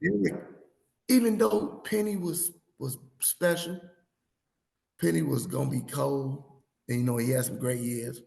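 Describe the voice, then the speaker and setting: A man in his thirties or forties hosting a sports podcast, losing his voice, rambling and animated as he tells stories in casual chat. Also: mid-range voice, a little slow, gravelly, reverberant. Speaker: podcast host.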